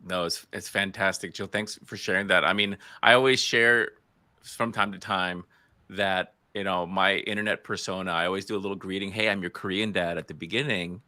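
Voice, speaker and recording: A man's voice: low-pitched, steady tone, a little fast, sharp tone, no room sound. A middle-aged man with an American accent, moderating a panel in a conversational, unscripted way.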